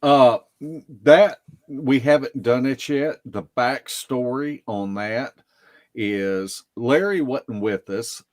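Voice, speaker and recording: A man telling stories casually as a podcast guest, in a folksy, unhurried way that circles back on itself. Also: medium pitch, a little mellow. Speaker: podcast guest, field investigator. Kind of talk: casual storytelling.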